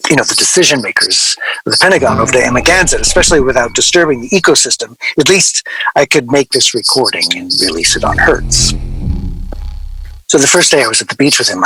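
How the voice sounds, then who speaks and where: A man playing a wry, middle-aged record-label veteran in a radio drama, reminiscing to an interviewer in a halting, digressive way. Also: medium pitch, natural voice. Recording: location recording with clear background noise.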